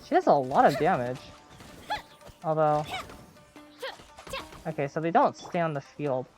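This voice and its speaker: Low-voiced woman streaming a game. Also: sing-song, measured pace, over music. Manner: thinking aloud. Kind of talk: casual live gameplay commentary.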